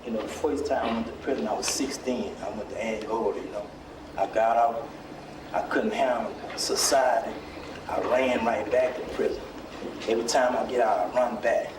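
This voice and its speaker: A man's voice: medium pitch, steady tone, normal speed. Man in an echoey, noisy room. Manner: colloquial, repeats "you know". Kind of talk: personal testimony. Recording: echoey room, distant mic, clear noise.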